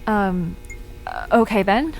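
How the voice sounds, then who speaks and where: A woman playing a curious, friendly young park visitor in an audio drama, inquisitive and expressive in a conversational way. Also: mid-range voice, slow pace, vocal fry, faint music behind, low-quality recording.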